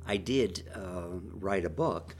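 A man's voice: low voice, animated, slow pace, faint music behind. An older man with Romanian as his first language, talking with another writer in a thoughtful way, with restarts and chuckles. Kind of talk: conversation between writers.

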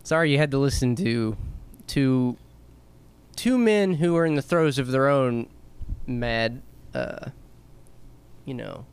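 A man co-hosting a podcast, chatting casually and rambling, his voice going hoarse. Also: medium pitch. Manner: rambling, laughing, trailing off. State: losing his voice. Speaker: podcast co-host. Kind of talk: casual chat.

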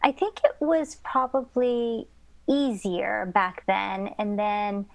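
A woman's voice: medium pitch, steady tone, slow, creaky, dry recording. A middle-aged woman, born in Indonesia and raised in Southern California, talks through her family history as a podcast guest. Her storytelling wanders, full of fillers and restarts. The recording is dry and close. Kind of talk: casual interview conversation.

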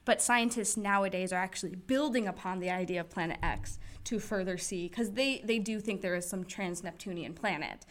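A woman's voice: mid-range voice, fairly quick, hardly any pauses. Chatty young woman podcaster. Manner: chatty, filler-heavy, giggly. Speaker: podcast co-host. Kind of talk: casual conversational chat.

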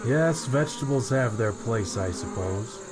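A man's voice: medium pitch, animated, a little slow, background noise, lightly acted. A man playing an easygoing, teasing father in a fantasy audio drama, jocular and placating. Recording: distant voice, loud insect ambience.

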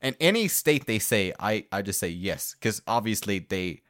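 A man's voice: lowish voice, animated, normal speed, fairly bright tone, no echo, clean studio sound. Swedish-accented young man, rambling chat. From Sweden. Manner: rambling, joking, swears freely. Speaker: podcast co-host. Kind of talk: casual chat.

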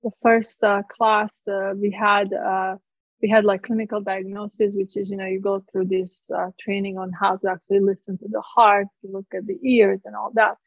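A woman's voice: medium pitch, flat delivery, normal speed, no echo. A woman in her forties from Croatia with a Croatian accent, a physician earnestly explaining her approach in an interview, with frequent fillers and restarts. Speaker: physician guest. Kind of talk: conversational interview answers. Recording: dull, dry, slightly lo-fi sound.

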